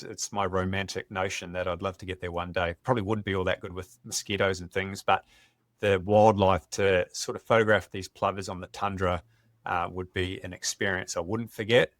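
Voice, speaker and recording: A middle-aged Australian man, a wildlife photographer, telling stories in a relaxed, enthusiastic podcast chat. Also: lowish voice, dry recording, clean studio sound. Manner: enthusiastic, conversational, some restarts.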